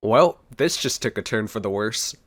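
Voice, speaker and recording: Excitable male game streamer. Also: lowish voice, normal speed, no echo, studio quality. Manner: excitable, exclaiming. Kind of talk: let's play reaction commentary.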